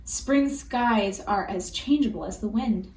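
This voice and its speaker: A woman reading a picture book aloud for children in an expressive, deliberate storytelling manner. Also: mid-range voice. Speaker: storybook reader. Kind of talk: children's read-aloud narration. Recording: roomy echo with faint background noise.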